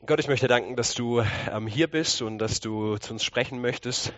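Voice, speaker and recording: A man's voice: medium pitch, normal speed, fairly bright tone, no echo, lo-fi sound. Male preacher, a touch congested from a cold. Conversational, explanatory delivery with rhetorical questions, recorded dry. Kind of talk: conversational sermon.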